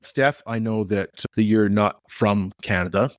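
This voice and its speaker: A male podcast host with a low voice asks his guests questions in a friendly, easygoing way. The sound is close and dry. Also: a little slow, dry recording. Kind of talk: casual podcast interview.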